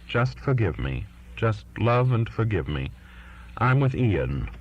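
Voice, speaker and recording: An earnest twenty-year-old daughter as a male audiobook reader voices her pleads her case to her father, defiant and insistent. The voice is low-pitched, and the recording is muffled and lo-fi. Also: very animated, slow pace, a little hiss or hum. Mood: pleading. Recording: dull lo-fi recording.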